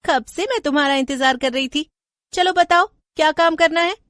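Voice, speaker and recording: A woman's voice: high voice, fairly bright tone, no echo, studio quality, voice pushed up. A woman voicing a menacing, falsely sweet witch servant with a gloating, cackling manner in a horror story. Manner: cackling, drawn-out threats.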